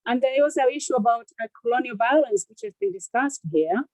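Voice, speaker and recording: Earnest woman, high dry voice. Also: darkish tone, no room sound, low-quality recording. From Tanzania. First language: Kiswahili. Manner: earnest, halting, repeats words. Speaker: audience member invited to speak. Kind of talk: unscripted remarks on a video call.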